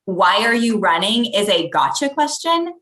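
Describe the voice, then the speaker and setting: A woman's voice: medium pitch, sing-song, measured pace, fairly bright tone. A woman playing a persistent, skeptical thirty-year-old newspaper reporter with a dry, probing manner in a comedy table read.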